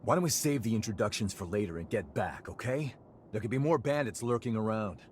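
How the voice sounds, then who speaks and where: An actor as a brash, expressive young fighter. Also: male voice, lowish voice, fairly quick, slight background noise, lightly acted. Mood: resigned, urgent. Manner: casual, cocky, expressive. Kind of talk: video game cutscene acting.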